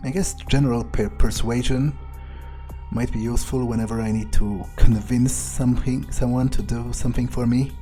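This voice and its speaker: A man voicing an arrogant, scheming male vampire in video game roleplay, haughty and deliberate as he thinks aloud. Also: medium pitch, steady tone, warm tone, soft background music, lightly acted. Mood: musing, smug.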